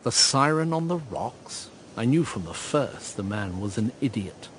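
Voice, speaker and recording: A man's voice: mid-range voice, lively intonation, unhurried, a little hiss or hum, natural voice. An actor in a radio drama narrating as a dry, sardonic man, recalling his past. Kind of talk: scripted first-person drama narration.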